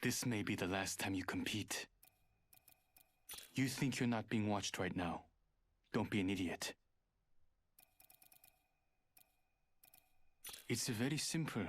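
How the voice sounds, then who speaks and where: Man playing a cold, low-voiced hacker fighter. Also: normal speed, pauses often, no echo, natural voice. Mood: stern, warning. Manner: terse, superior, serious. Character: guarded, arrogant male hacker fighter, coldly menacing. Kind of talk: video game character voice lines.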